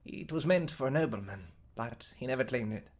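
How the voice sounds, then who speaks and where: A man's voice: mid-range voice, lively intonation, a little fast, voice pushed up, close to an everyday voice. A man putting on a stammering, nervous nobleman. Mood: awed. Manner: stammering, awed, formal. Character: nervous, deferential male nobleman.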